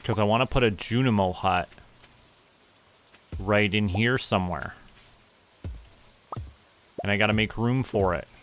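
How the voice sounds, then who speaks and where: A young man from Canada giving relaxed, musing commentary as he plays, often talking to himself. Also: lowish voice, a little hiss or hum. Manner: slow, musing, talks to himself while playing. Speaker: VTuber streamer.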